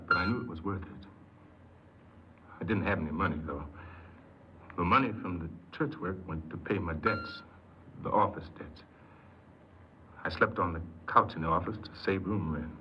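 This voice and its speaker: An actor playing a desperate, earnest ex-convict in a 1950s American radio crime drama, pleading and hesitant, often repeating himself. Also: male voice, low-pitched, moderate pace, pauses often, lightly acted. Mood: subdued confessing. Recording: muffled, hissy 1950s radio recording.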